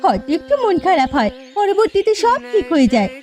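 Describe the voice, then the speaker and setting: A woman voicing a meek, gentle young widowed daughter-in-law in a cartoon, plaintive and soft-spoken. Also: extremely high pitch, big pitch swings, fairly quick. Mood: sorrowful, resigned. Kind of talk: Bengali cartoon fairy-tale acting.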